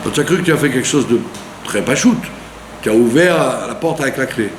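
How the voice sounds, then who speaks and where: Animated rabbi teaching in an echoey room. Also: male voice, medium pitch, fairly quick. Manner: animated teaching, with rhetorical questions and frequent "d'accord?". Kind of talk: Torah lesson sermon. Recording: echoey room with faint hum.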